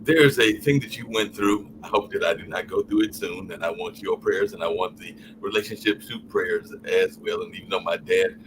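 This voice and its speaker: A man's voice: low voice, moderate pace, hardly any pauses. A man on a live call-in podcast, talking in long rambling stretches and often trailing off with "along those lines". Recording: noisy stream audio.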